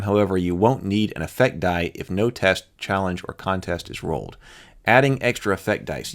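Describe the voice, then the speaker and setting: Low-voiced man explaining game rules. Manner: thinks aloud, explaining step by step. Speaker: host of a tabletop roleplaying rules channel. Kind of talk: reading rules aloud with informal asides.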